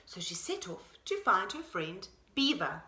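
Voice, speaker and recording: A woman teacher reading a children's story expressively, pausing to explain things to young listeners. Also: mid-range voice, a little hiss or hum. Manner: animated, explanatory. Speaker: pre-primary teacher, storyteller. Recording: roomy echo.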